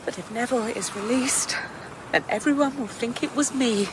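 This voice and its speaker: Actress as a high-voiced, fragile, anxious woman. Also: normal speed, noisy background, close to an everyday voice. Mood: distressed. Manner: hesitant, troubled. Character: fragile, anxious woman, guilt-ridden and withdrawn. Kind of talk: radio drama acting.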